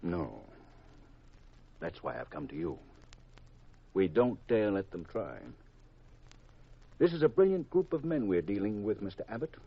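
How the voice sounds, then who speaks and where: An actor playing a grave, secretive government intelligence chief in a 1950s radio drama, deliberate and confidential on a vintage recording. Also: male voice, lowish voice, very animated, breathy edge, lo-fi sound, exaggerated voice. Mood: grave, confiding.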